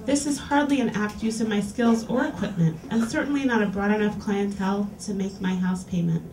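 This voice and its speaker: A woman's voice: mid-range voice, steady tone, a little mellow. A woman hosting a reading series, reading an erotica piece aloud to a live audience in a small lounge. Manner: clear, deliberate reading-aloud delivery. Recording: roomy, distant live capture.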